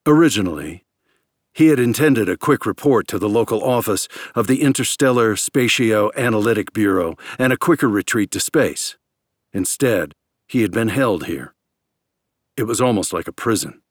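A man with a fairly low voice narrates fiction in a scripted, dramatic audiobook style. The recording is close and very dry, with no room sound. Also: animated, moderate pace, no room sound.

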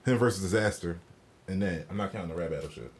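Man, fairly low voice, matter-of-fact, short rebuttals, casual podcast talk. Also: fast talker. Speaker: podcast co-host. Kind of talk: casual chat.

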